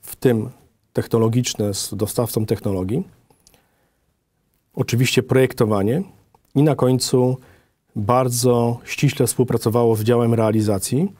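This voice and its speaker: Bright-voiced man presenting formally. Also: mid-range voice, fairly even intonation, unhurried, long pauses, dry recording, studio quality. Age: middle-aged. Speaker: design office director presenting.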